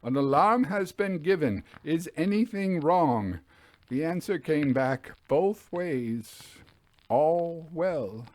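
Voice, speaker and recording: Man acting a slow, haunted railway signalman. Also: on the high side, animated, no room sound, pitched above the performer's own voice. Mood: agitated, dreading. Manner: slow, halting, grave recounting. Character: haunted, solemn railway signalman telling of a spectre. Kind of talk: dramatic reading of a classic ghost story.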